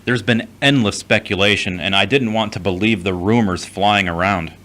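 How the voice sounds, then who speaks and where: A man's voice: fairly low pitch, normal speed, bright, forceful tone. An actor playing a guarded, skeptical man in an audio drama, with a terse, dry, blunt delivery.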